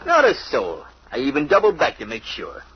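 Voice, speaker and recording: A man's voice: on the high side, huge pitch swings, moderate pace, background noise. An actor playing a slangy, defensive crook pleading his innocence in an old-time radio crime drama. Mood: earnest, insistent. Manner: slangy tough-guy talk. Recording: dull, muffled vintage radio recording.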